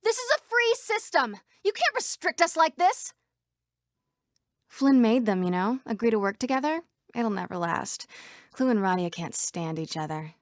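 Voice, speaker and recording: A young woman voicing an earnest female computer program citizen in a video game, with crisp, dramatic delivery. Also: on the high side, very animated, normal speed, no room sound, studio quality. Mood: anxious, pleading.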